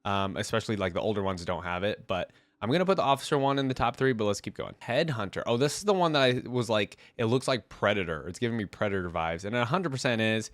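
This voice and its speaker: Deep-voiced man with a stuffy cold. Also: lively intonation, fast, few pauses, a bit bright, no echo. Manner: hesitant, thinking aloud, informal slang. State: congested with a cold. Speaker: video host, reactor. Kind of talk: casual reaction commentary.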